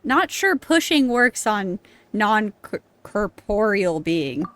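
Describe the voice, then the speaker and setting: Animated, joking woman streamer. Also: medium pitch, slow pace. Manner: joking, swears, laughs often. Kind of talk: casual let's-play reactions.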